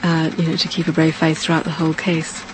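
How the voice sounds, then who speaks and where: A middle-aged or older person with a gravelly voice, recalling the past in a halting, subdued interview style. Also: mid-range voice, completely flat delivery, background noise. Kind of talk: reflective interview reminiscence.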